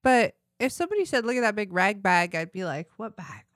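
A woman's voice: mid-range voice, big pitch swings, fairly quick. A woman co-hosting a podcast in casual joking chat, cutting in with wry, skeptical remarks. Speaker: podcast co-host.

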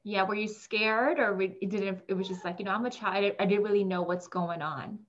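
A woman's voice: mid-range voice, a little fast, dull audio. A woman hosting a podcast, opening the show and asking her guest friendly, encouraging questions. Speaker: podcast host. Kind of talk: podcast intro and interview.

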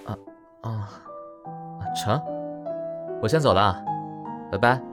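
Audio drama lead: playful, awkward young man, slightly deep voice, stammers when flustered, music underneath. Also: slow pace. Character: Lu Ye, young male entertainer, easily flustered and playful. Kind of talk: Chinese audio drama acting.

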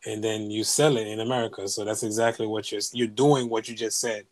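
A man's voice: fairly low pitch, little pitch movement, brisk, a loud crowd. A man co-hosting a casual interview, asking brief questions.

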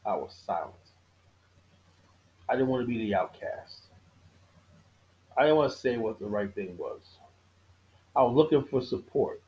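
A middle-aged man hosting his own podcast, giving a casual monologue with a preachy tone, repeating key lines for emphasis, often halting and restarting. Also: mid-range voice, expressive, dark tone, poor audio. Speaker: podcast host.

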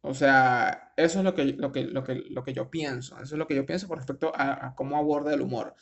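A man's voice: mid-range voice, steady tone, fairly quick, gravelly, highs cut off. A young Venezuelan man with a Venezuelan accent, casually commenting on a news article, rambling with restarts and slang. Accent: Venezuelan Spanish.

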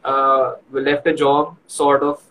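A man's voice: mid-range voice, completely flat delivery, slow, sharp tone, a little hiss or hum, poor audio. A young man with an Indian accent hosting a casual live online chat, switching between English and Hindi with a self-deprecating manner. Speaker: host. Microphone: earphones removed, online live call.

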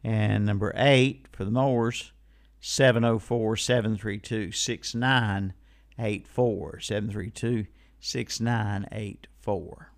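Gravelly, unhurried man hosting local radio. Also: on the low side, steady tone. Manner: laid-back, repeats phone numbers back. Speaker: radio host of a call-in swap shop.

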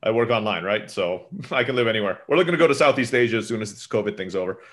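Fast-talking man, laughing easily. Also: on the low side. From Turkey. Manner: fast, animated, laughs often. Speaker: podcast guest. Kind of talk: casual interview chat.

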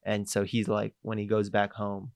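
A young man with a low, gravelly voice chats on a podcast, recorded close and dry. He talks in a relaxed, halting way, full of little fillers. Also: dry recording. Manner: relaxed, hesitant, many fillers. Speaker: podcast co-host. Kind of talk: casual chat.

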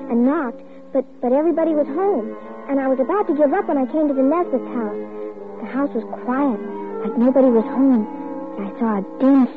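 A woman's voice: high voice, lightly acted. An actress playing an earnest, frightened, stubborn young girl in an old-time radio drama, pleading and urgent. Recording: tinny, noisy old radio recording.

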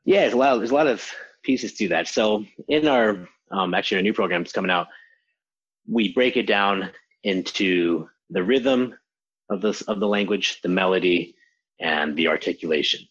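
A man, a language teacher, answering interview questions in an animated way and acting out quotes. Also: sits low, moderate pace, slightly rough, dull audio. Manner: animated explainer, acts out quotes. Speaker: language teacher, podcast guest.

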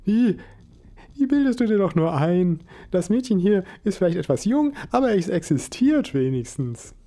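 Man doing a cheerful esoteric mother, middle-aged, gushing, very high falsetto-like voice. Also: very animated, moderate pace, dark tone.

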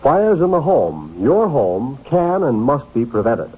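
A man's voice: medium pitch, big pitch swings, a little slow, breathy edge. A man reading a scripted 1950s radio safety announcement in an emphatic, solemn announcer's manner. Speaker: public service announcer. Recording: tinny, phone-like old radio recording.

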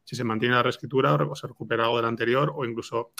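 Creaky-voiced, informal man from Spain. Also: mid-range voice, steady tone, racing, sharp tone. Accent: Spain Spanish. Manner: informal, seeks agreement with tag questions. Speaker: screenwriter being interviewed. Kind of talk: casual chat.

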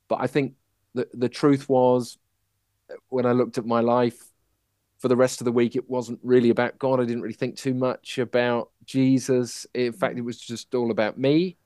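A man from Manchester, England, telling his personal story as a podcast guest in a halting, reflective manner. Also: medium pitch, darkish tone, clear, resonant tone, no echo, studio quality. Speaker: podcast guest, musical writer.

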